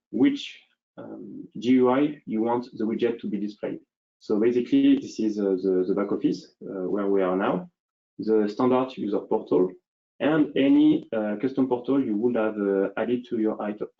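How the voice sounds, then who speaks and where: A man presenting a software demonstration in an unscripted, explanatory way, hesitantly thinking aloud as he goes. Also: fairly low pitch, highs cut off.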